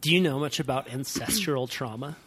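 A man's voice: medium pitch, fairly quick, fairly bright tone, husky. Male podcast host with a mid-range voice; easygoing, curious and a little teasing. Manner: playful, teasing, musing. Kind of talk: casual conversational interview.

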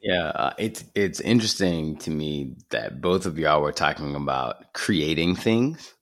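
Very deep-voiced man. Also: expressive, unhurried. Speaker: host. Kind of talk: thoughtful discussion.

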